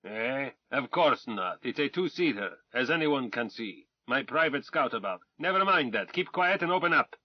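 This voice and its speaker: A man's voice: medium pitch, lively intonation, moderate pace, few pauses, no echo, close to an everyday voice. A man voicing an arrogant, blustering older colonel, speaking in a pompous, commanding way. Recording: dull, dry sound.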